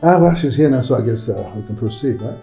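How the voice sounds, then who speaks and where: An actor playing a polite, hesitant hospital doctor who keeps patients calm. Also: male voice, mid-range voice, fast talker, creaky, faint music behind. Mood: soothing. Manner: soothing, hesitant with many uhs. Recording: echoey room.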